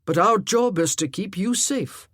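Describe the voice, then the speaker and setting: A woman voicing a huge earnest Highland warrior. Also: animated, a little slow, husky, no echo, studio quality. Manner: earnest, chatty, loud.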